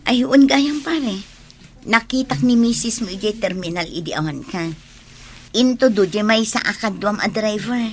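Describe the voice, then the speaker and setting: A woman's voice: mid-range voice, expressive, normal speed, close to an everyday voice. A woman plays a flirty gossip in a radio drama, teasing and forward. Her voice is mid-range with a Filipino Ilocano accent. Faint ambient noise sits behind it. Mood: teasing, flirtatious. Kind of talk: conversational radio drama acting.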